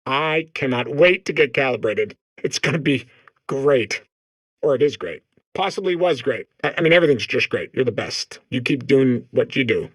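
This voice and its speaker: Excitable, naive robot core, swooping pitch. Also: male voice, dry recording. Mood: giddy, eager. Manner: babbling, second-guessing, apologetic. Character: eager, naive, nervously chatty male robot core. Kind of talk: comedic video game voice acting.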